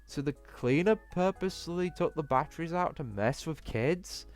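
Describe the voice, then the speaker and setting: A man's voice: fairly high pitch, expressive, normal speed, darkish tone, faint music behind. A man reading a visual novel aloud as a let's play host, stumbling over the text and breaking in with blunt, indignant asides.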